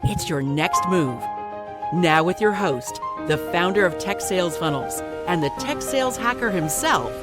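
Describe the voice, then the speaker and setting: A woman's voice: on the low side, animated, unhurried. Upbeat woman announcer over music. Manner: upbeat promotional delivery. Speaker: show announcer. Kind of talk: scripted podcast intro. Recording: clear music bed under voice.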